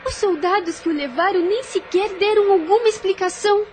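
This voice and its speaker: An actress with a Brazilian Portuguese accent voicing a stubborn, worried young sister who insists in an audio drama. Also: female voice, very high-pitched, fairly quick, a little hiss or hum. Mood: insistent.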